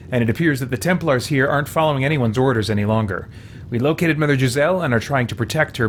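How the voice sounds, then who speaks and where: A man's voice: mid-range voice, a little hiss or hum. Man thinking aloud over a game. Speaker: let's play host. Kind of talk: gameplay commentary, reading game text aloud.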